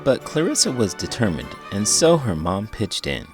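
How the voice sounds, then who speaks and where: Low, animated man reading a storybook. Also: moderate pace, faint music behind. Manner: theatrical, expressive. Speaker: host, children's book reader. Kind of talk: animated storybook read-aloud narration.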